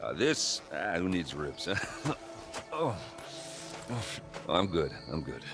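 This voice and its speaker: A man playing a gruff, wounded warrior in a video game, joking haltingly and self-deprecatingly as if hurt. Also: deep voice, very animated, music in the background, full character voice. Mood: wry, pained. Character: gruff, bantering wounded male warrior with a hammer.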